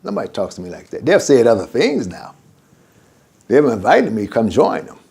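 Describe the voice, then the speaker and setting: Animated older imam. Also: male voice, medium pitch, moderate pace, gravelly, slightly rough. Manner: animated and digressive, acts out conversations. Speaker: imam teaching a class. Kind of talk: informal religious lesson.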